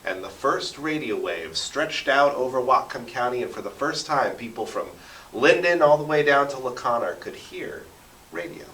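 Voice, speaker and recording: A man's voice: mid-range voice, lively intonation, moderate pace, sharp tone. An American man telling stories conversationally as an interview guest, with dramatic flourishes. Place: echoey radio studio. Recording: roomy echo, distant from microphone.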